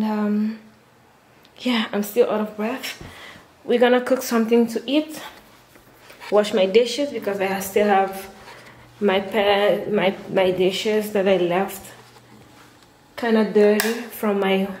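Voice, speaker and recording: A woman of 24 from Aruba chatting casually to camera in a halting way as she vlogs at home. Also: mid-range voice, steady tone, a little mellow. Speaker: vlogger. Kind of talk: casual vlog chat to camera. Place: indoors at home, hard-walled kitchen and rooms. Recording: echoey room, some background noise.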